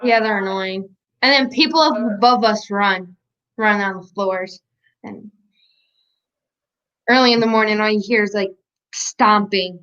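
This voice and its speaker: A woman talks in a casual, playful way, as in a chat among friends. Her pitch is average and the audio is dull and lo-fi. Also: unhurried, pauses often, fairly bright tone.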